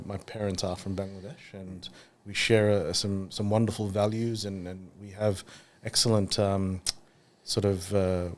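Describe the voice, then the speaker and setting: A man's voice: lowish voice, a little mellow. An Australian man in his thirties, co-hosting a podcast in casual chat, reflective and thinking aloud with many fillers. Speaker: podcast co-host.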